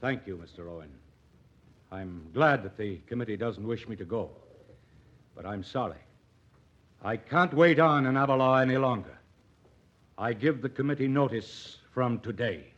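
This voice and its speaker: An actor playing an earnest, proud, idealistic doctor in an impassioned, articulate old radio drama style. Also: male voice, mid-range voice, lively intonation, moderate pace, pauses often, fairly bright tone, natural voice. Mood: subdued, resigned. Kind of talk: 1940s radio acting. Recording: dull old radio recording.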